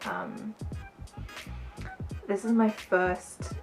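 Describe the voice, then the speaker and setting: A woman's voice: mid-range voice, huge pitch swings, drawn-out words, over music. A woman with a mostly British accent touched with South African and American, chatting casually and ramblingly to camera as a beauty vlogger. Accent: mostly British with slight South African and American touches. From born in the UK, raised as a teenager in South Africa. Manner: rambling, chatty, self-conscious asides.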